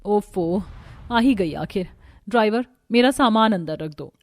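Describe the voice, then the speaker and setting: A woman's voice: mid-range voice, animated, a little slow, dry recording, clean studio sound. A woman voicing a spoiled, demanding young newlywed daughter in a cartoon, whiny and entitled. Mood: grumbling.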